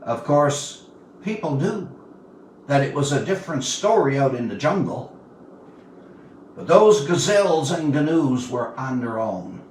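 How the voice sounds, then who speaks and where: A man in his seventies from Newfoundland reads his own literary tale aloud as its author, in a measured, expressive storytelling manner with dramatic pauses. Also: mid-range voice. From Newfoundland, Canada. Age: 70s. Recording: roomy, distant mic, faint background noise.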